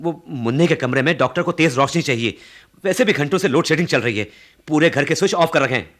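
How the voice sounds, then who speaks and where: An actor playing a brusque, bossy grown son who speaks curtly and impatiently in a radio drama. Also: male voice, a little high, lively intonation, fast, a bit bright. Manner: curt, commanding. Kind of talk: Hindi radio play acting.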